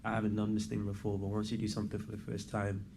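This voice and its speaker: A man's voice: lowish voice, monotone, fast talker. A young man as an interview guest, talking in a laid-back, rambling way, full of "like" and "you know". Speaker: guest, program member. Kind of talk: casual interview testimonial. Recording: clear background noise.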